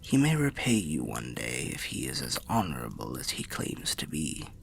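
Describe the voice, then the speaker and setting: A man voicing a weary old undead wanderer telling fantasy tales in ASMR roleplay, half whispered and gravelly, with a measured, wry, old-fashioned way of speaking. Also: wildly swooping pitch, full character voice. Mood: wry, musing. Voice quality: breathy whispering, gravelly low voice. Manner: measured, archaic, wry storyteller.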